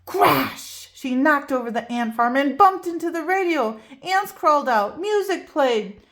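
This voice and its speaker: A woman reading a children's picture book aloud, expressive and storytelling. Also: high voice, a little slow. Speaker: read-aloud host.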